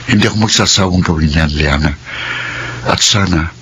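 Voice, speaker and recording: A man's voice: deep voice, normal speed, fairly bright tone, slight background noise. An actor playing an attentive, devoted young working man in love in a Filipino radio drama. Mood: tender, earnest.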